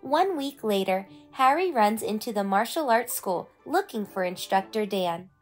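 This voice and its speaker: A woman's voice: mid-range voice, expressive, moderate pace. A woman narrating a children's storybook as host of a read-aloud. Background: faint music.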